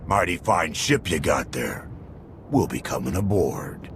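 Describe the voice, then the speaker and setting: An actor playing a loud, rowdy, bossy spacer in a video game cutscene, with a brash manner. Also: male voice, a bass voice, sing-song, unhurried, creaky, slight background noise, exaggerated voice. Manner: shouting, brash.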